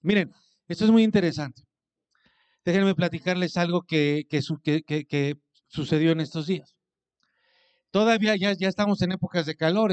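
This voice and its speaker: High, smooth-voiced pastor preaching. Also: male voice, a little mellow. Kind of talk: sermon.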